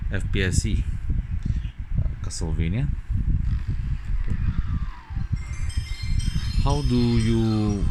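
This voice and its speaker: A man's voice: fairly low pitch, noisy background. A man from Singapore with a Singaporean English accent, casually demonstrating a phone gamepad and thinking aloud with lots of okays and fillers. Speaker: gadget reviewer. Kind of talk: casual hands-on product demo. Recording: loud game music behind. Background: loud game music.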